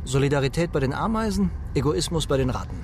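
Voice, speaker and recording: A man's voice: fairly high pitch, animated, quick pace, darkish tone, a little hiss or hum. An actor playing a reflective male scientist aboard a starship in a science fiction radio drama. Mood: analytical. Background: music.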